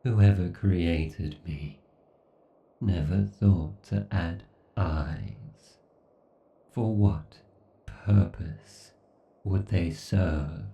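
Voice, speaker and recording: Man voicing a slow, gravelly, obsessive AI god villain. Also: sits low, far from the mic, lo-fi sound, heavily acted. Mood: bitter, cold. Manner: slow, menacing, brooding delivery with deliberate pauses. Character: obsessed, villainous male AI god ruling a scorched apocalypse. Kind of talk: horror ASMR roleplay monologue.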